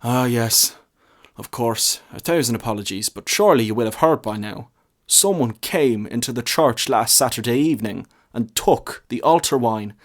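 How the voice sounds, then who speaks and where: A man voicing a world-weary, stern parish priest in a story, with a measured, solemn, admonishing manner. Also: mid-range voice, lively intonation, natural voice. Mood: weary, indignant. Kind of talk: short story character reading.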